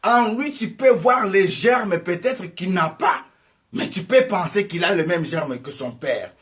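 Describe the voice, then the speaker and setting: A preacher delivering an exhorting sermon with a high voice. He fires rhetorical questions at his listeners and swings between loud outbursts and soft passages. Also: expressive, measured pace. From Congo. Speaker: evangelist preacher.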